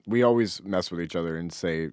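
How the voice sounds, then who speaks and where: Male podcast co-host, deep register, dry sound, loose joking chat. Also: brisk, darkish tone, clean tone, no room sound. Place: small office.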